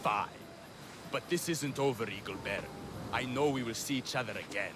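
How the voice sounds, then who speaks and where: A man's voice: mid-range voice, normal speed, background noise, natural voice. An actor playing a proud, melodramatic, honour-obsessed Greek man in a video game cutscene, pompous and theatrical. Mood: haughty.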